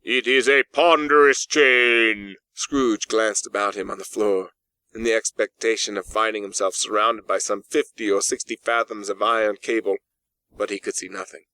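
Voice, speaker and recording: A man's voice: fairly low pitch, little pitch movement, normal speed, sharp tone, dry recording, rough recording. A man narrating a literary story from a script as an audiobook narrator. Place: in-house recording, dry.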